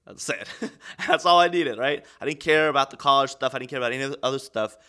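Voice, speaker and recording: Young man born in Estonia, twenties, bright and forceful voice, aspiring wrestler recounting his story with candid, earnest swings from hushed to fired up. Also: medium pitch, fast, clean tone. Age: 20s. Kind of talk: documentary interview.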